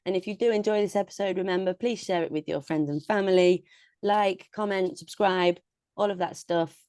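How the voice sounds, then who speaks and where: A woman with a British accent chats casually as a podcast co-host. Her voice sits on the low side, and the recording is dry and close with very little room sound. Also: moderate pace, a little mellow, clear, resonant tone, no room sound. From United Kingdom. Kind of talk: casual chat.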